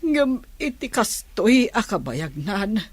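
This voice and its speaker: An actress plays a sorrowful, anxious Filipino mother in a radio drama. She speaks very slowly in a rough, husky voice, recorded dry. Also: mid-range voice, big pitch swings, no room sound. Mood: anxious, tearful. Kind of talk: Ilocano radio drama acting.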